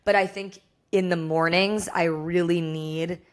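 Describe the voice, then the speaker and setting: A young American woman with a hoarse voice, talking candidly and casually as the solo host of a podcast. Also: fairly low pitch, steady tone, unhurried. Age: young adult. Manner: chatty, candid, frequent "like".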